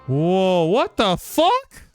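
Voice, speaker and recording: A man giving casual, sweary live reactions as a game streamer, excitable and slangy. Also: sits high, very slow. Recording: game music behind the voice. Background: video game music.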